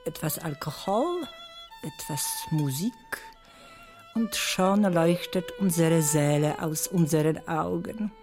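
Weary baroness, slow and swooping. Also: female voice, lowish voice, lively intonation, darkish tone. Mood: weary, frank. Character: world-weary Polish baroness, former spy, frankly cynical. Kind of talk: German-dubbed 1950s film thriller dialogue. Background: violin music.

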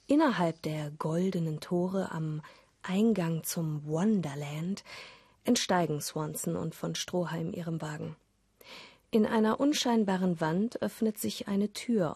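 A woman's voice: lowish voice, warm tone, breathy edge, dry recording. A woman narrating a scripted radio play as a storyteller.

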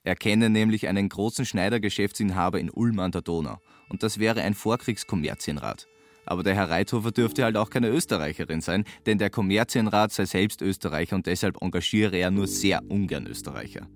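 A man's voice: lowish voice, a little fast. A man narrates a literary story, relaying what its characters say. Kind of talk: literary narration in reported speech. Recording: music behind the voice. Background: music.

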